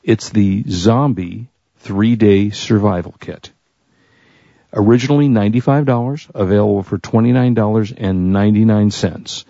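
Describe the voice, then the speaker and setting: Low gravelly American male radio host. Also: normal speed, mellow, dry recording, highs cut off. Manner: jokey, teasing. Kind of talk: casual radio banter.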